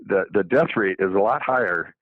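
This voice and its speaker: A man's voice: on the low side, lively intonation, normal speed, dry recording. A man in his seventies, a doctor, talking casually on the radio with many restarts and fillers. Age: 70s. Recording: phone-like dry narrow line.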